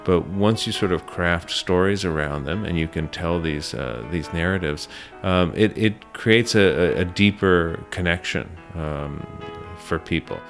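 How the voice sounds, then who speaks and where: A man telling his story as a business owner in a casual interview, often halting and repeating words as he goes. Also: low voice, fairly bright tone, faint music behind. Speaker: ice cream shop owner, interview guest.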